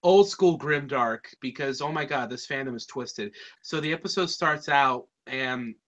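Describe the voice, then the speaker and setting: A man's voice: medium pitch, normal speed, fairly bright tone, highs cut off. A man with a Californian accent, chatting casually as a reviewer, rambling and slangy. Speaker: reviewer, podcast host.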